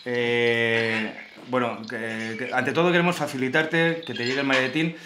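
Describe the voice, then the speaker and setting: A man's voice: mid-range voice, fairly even intonation, measured pace. A man in his thirties with a Spain accent, bantering casually as host of a prank show. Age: 30s. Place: small home office, indoors. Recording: echoey room, faint background noise.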